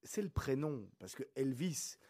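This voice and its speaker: A man's voice: medium pitch, very animated, measured pace, warm tone. A man hosting a radio interview, with many restarts and fillers. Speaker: radio host.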